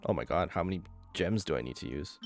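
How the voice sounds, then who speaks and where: A young man giving casual gameplay commentary, low-key and thinking aloud as he goes. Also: expressive, fast talker, vocal fry. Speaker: gaming video creator. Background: game music.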